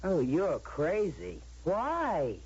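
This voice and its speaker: A woman's voice: lowish voice, wildly swooping pitch, drawn-out words, a little mellow, slightly rough, exaggerated voice. An actress playing a brassy, slangy working-class woman friend in an old-time radio drama, casual and blunt. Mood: breezy, incredulous. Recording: muffled old radio broadcast recording.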